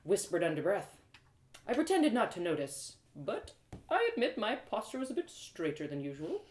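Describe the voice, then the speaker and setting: Animated woman storyteller in an echoey room. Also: medium pitch, normal speed. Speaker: storyteller reading a story aloud. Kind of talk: expressive scripted first-person narration. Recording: roomy, echoing live reading.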